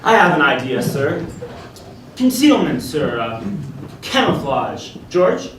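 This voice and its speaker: A man playing a loyal, mock-polite male manservant in live improv comedy, slow and dry. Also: on the high side, sing-song. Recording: echoey stage from far off, audience noise.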